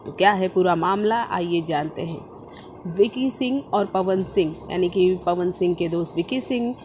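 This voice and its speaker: A woman's voice: on the low side, a little slow, darkish tone, slight background noise. A woman presenting entertainment gossip news as a scripted narration, explaining and restating points with emphasis. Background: background music.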